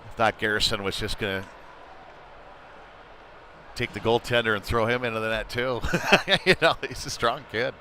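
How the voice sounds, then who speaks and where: A man's voice: mid-range voice, normal speed, pauses often, slight background noise. A man giving informal, conversational play-by-play commentary on an amateur hockey game. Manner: conversational and informal, excited when play heats up. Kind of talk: live amateur sports commentary.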